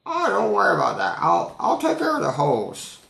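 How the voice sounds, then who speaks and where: A man voicing a chatty, oblivious and friendly elderly woman who speaks haltingly and repeats herself in a storytelling bit. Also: high voice, huge pitch swings, creaky, fairly bright tone, roomy sound, pitched above the performer's own voice, heavily acted. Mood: insistent, kindly.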